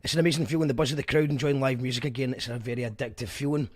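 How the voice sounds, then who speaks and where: A man's voice: medium pitch, fairly even intonation, rapid-fire. A man hosting a radio show, chatting casually and reading out listener messages with enthusiasm.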